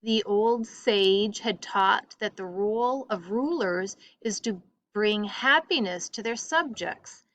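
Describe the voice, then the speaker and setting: A woman teacher reading a textbook aloud to a class with deliberate, emphatic phrasing. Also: mid-range voice, a bit bright.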